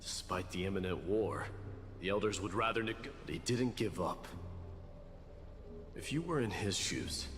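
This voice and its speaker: A thoughtful fantasy warrior with a fairly low voice. He speaks calmly and deliberately, like a storyteller. There is a long reverb and clear music behind him. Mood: reflective. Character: calm, thoughtful male fantasy warrior telling old tales. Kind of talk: dramatic video game voice acting.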